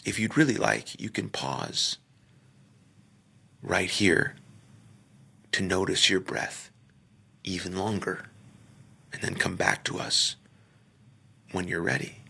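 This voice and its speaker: A man leading a guided meditation, speaking gently in short phrases with pauses and sometimes trailing off into a near-whisper. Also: low voice, big pitch swings, creaky, husky. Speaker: podcaster. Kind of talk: guided meditation, soft slow instruction.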